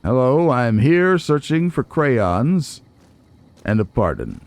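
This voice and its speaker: A man's voice: medium pitch, very animated, slow pace, dry recording. A man giving casual, playful gameplay commentary, talking back to characters in a theatrical way. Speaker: let's play host.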